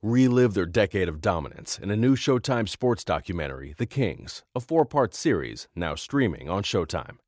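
A man reading a scripted promo with a slow, dramatic delivery. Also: on the low side, sing-song, a little mellow, no room sound, clean studio sound. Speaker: ad announcer.

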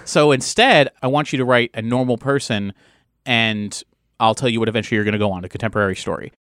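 A man talking conversationally as a game master, setting up scenarios with animated storytelling. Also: medium pitch, fast, clean tone, no room sound, studio quality. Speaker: game master, show co-creator. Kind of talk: conversational explanation of a role-playing game.

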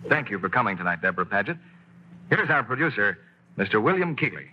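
A man's voice: fairly low pitch, sing-song, quick pace, a little hiss or hum. A man working as a radio announcer, giving station identification and reading a commercial in an upbeat salesman's manner. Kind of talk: scripted announcing and commercial read. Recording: dull 1940s radio broadcast.

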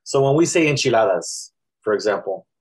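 A man with a South Texas accent and a somewhat low voice speaks in a relaxed, reflective way. He pauses often and restarts his sentences while he works through an idea. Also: breathy edge. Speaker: guest poet. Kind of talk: casual interview conversation.

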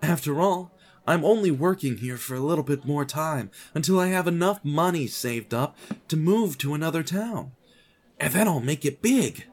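A man's voice: on the high side, expressive, normal speed, voice pushed up. A man voicing a bland, resigned office worker in his twenties who thinks aloud in a wistful, self-pitying way for an audio story. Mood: wistfully hopeful.

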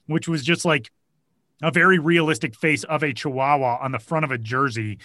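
A man's voice: on the high side, moderate pace, pushed and bright, dry recording. A man co-hosting a sports podcast, chatting in an easygoing way. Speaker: podcast co-host. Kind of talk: casual podcast chat.

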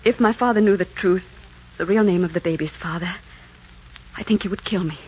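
A woman's voice: on the low side, fairly quick, husky. An actress playing an earnest, secretive young woman in love in a melodramatic 1940s radio drama. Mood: anguished, confessing. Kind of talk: 1940s romantic radio acting. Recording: muffled old radio recording, noisy.

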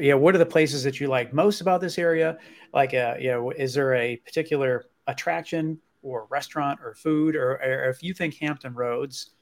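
A man co-hosting a podcast, asking questions casually with many "like"s and "you know"s. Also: medium pitch. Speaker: podcast co-host.

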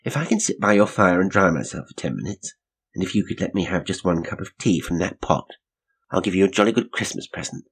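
A man reading aloud, voicing a bold, practical young woman with crisp, well-bred phrasing. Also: deep voice, lively intonation, fairly quick, exaggerated voice. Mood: brisk.

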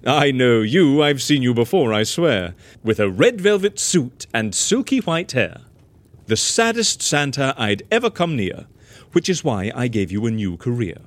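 An actor playing a flamboyant, amused fixer with a theatrical, teasing manner in a rhyming audio comedy. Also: male voice, medium pitch, sing-song, a little slow. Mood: teasing, curious. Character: flamboyant, amused fixer who fakes deaths. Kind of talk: rhyming comic audio drama.

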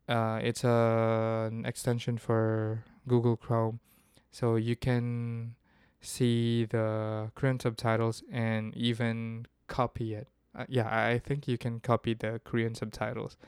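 A man's voice: mid-range voice, a little mellow, smooth, no room sound, studio quality. A man from the Philippines, hosting a casual solo podcast and thinking aloud with frequent restarts. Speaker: podcast host.

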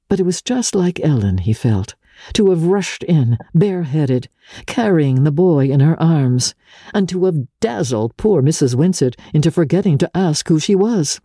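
A woman narrating an audiobook of classic literature as a dramatic reading, measured and expressive. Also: rumbling low, husky, dry recording, studio quality.

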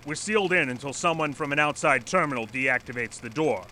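An actor in an audio drama playing an earnest, stubborn former manager who lectures formally and pleads insistently. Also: male voice, medium pitch, animated, fairly quick, bright, forceful tone, slight background noise. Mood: firm, explaining. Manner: formal, insistent, speech-making. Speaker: presenter at a company meeting. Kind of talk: audio drama acting, satirical dystopian comedy.

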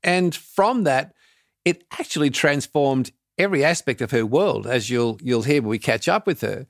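A man's voice: mid-range voice, lively intonation, normal speed, dry recording, clean studio sound. A man hosting a podcast, asking curious, thoughtful questions in a conversational way. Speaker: podcast host. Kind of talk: conversational interview.

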